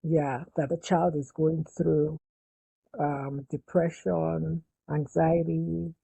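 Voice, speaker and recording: A Nigerian woman with a Nigerian accent, a podcast guest calmly explaining her work with young people. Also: low-pitched, slow pace, vocal fry, slightly rough, no echo, poor audio. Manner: calm, explanatory, eases into points with fillers. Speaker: guest, youth mental health foundation founder. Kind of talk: casual interview conversation.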